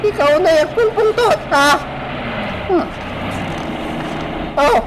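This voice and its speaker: A very high, whiny female rebel with an Ilocano Filipino accent. She teases and complains in exclamations. Clear outdoor vehicle noise runs behind the voice. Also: animated, takes it slowly, heavily acted. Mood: teasing, defensive. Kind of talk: radio drama acting. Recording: noisy outdoor and vehicle ambience.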